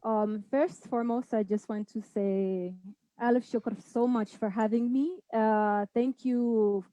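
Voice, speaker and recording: Young woman, from Saudi Arabia, nervous and halting, mellow, dry close sound, video call. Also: a little high, fairly even intonation, no echo, low-quality recording. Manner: hesitant, many fillers, says she is nervous. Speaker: guest dancer. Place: indoor home room. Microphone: video call webcam.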